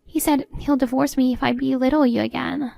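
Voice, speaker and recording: A woman's voice: high voice, fairly even intonation, a little fast, creaky, mellow, pitched above the performer's own voice. A woman voicing a haughty, meddling middle-aged mother-in-law in a story, agitated and indignant. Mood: panicked.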